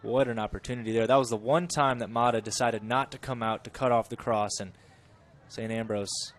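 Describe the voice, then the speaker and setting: A man giving chatty live play-by-play of a college soccer match, correcting himself and rising in pitch and volume when the play gets dangerous. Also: fairly even intonation, fairly quick. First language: English. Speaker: play-by-play commentator.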